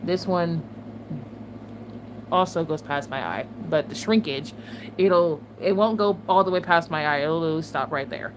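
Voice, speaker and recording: A woman's voice: deep voice, normal speed. A woman vlogger chatting casually to her viewers, swearing often and laughing between remarks. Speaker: channel host. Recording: steady background noise.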